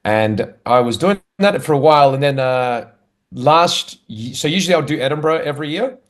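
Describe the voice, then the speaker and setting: A man's voice: on the high side, animated, normal speed. Easygoing Australian man, laughing a lot. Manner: easygoing, laughs often, self-deprecating. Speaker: guest, comedian. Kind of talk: casual conversational interview.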